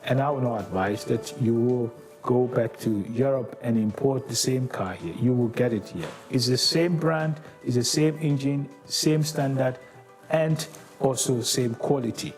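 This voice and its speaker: A man's voice: medium pitch, a little slow, darkish tone, soft background music. A man in his sixties, an auto industry executive, giving an earnest, promotional interview on a lapel mic in an office. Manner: earnest, promotional, with hesitant restarts.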